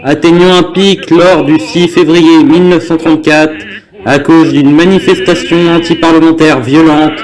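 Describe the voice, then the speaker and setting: A man's voice: a little high, fairly even intonation, moderate pace, low-quality recording. A young man reads a scripted newsreel narration in a formal manner. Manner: formal, reading aloud. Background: music.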